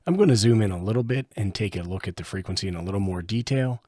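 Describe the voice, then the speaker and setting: A man's voice: on the low side, quick pace, mellow, dry recording, studio quality. A man giving a casual hands-on product review, explaining each step as he goes. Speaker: radio hobbyist reviewer, host.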